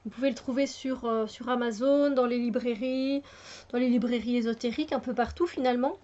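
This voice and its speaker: A woman's voice: fairly high pitch, steady tone, fairly quick, dark tone. A woman reviewing a tarot deck in casual talk, hesitant with many fillers and restarts, and enthusiastic. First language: French. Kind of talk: casual spoken product review. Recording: muffled lo-fi home recording.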